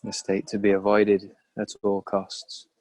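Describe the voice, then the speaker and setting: Man, low voice, flat intonation, calm, unhurried guided meditation, measured phrases with pauses. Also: dry recording. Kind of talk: guided meditation, slow and pausing.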